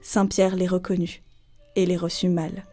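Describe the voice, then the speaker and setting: A woman's voice: fairly low pitch, little pitch movement, a little slow, dark tone, soft background music. A woman narrating a literary folk tale as a scripted storyteller.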